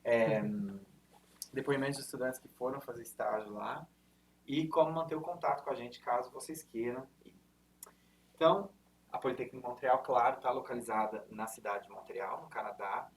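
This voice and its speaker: A man's voice: medium pitch, fairly even intonation, moderate pace. Chatty man with interior São Paulo accent. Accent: interior São Paulo (caipira R). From Brazil, interior of São Paulo state. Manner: chatty and informal, frequent fillers and self-corrections. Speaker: university representative giving a presentation. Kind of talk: informal presentation to students. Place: lecture room. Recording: distant mic, faint room noise.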